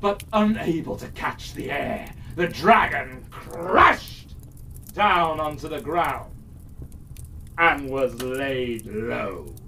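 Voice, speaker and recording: A man telling a fairytale to children in a dramatic, theatrical way, drawing out phrases with suspenseful pauses. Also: on the high side, animated, pushed and bright. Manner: theatrical, drawn-out delivery with suspenseful pauses and big swells. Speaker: storyteller. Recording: distant mic, faint background noise.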